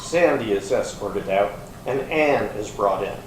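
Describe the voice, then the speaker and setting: A man's voice: fairly low pitch, expressive, a little slow. A man reading stage directions as scripted narration for a readers theater piece. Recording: echoey hall, distant mic, faint noise.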